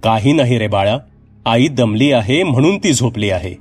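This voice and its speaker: A man plays a caring husband and father in a storybook tale. The voice is mid-pitched, soothing and solicitous, with music behind. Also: expressive, moderate pace, a little mellow, natural voice. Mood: concerned, soothing.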